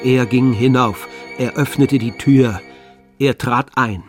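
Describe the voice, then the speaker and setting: A man narrating a literary radio drama in measured, deliberate phrases. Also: medium pitch, soft background music.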